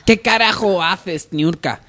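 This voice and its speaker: A man's voice: sits high, slow, sharp tone, pitched above the performer's own voice, full character voice. A man playing a domineering company boss in a parody radio soap, imperious and melodramatic. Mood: angry, scolding. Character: stern, domineering male coffee company boss.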